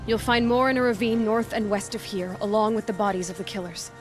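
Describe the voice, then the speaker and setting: A woman's voice: medium pitch, fairly even intonation, brisk, faint music behind, lightly acted. Determined young huntress played by a woman. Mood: firm, earnest.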